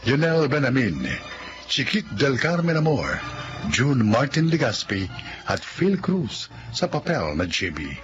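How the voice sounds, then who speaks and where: A man with a Filipino accent giving formal, scripted narration as a radio drama announcer. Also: mid-range voice. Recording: dull lo-fi audio, loud music behind.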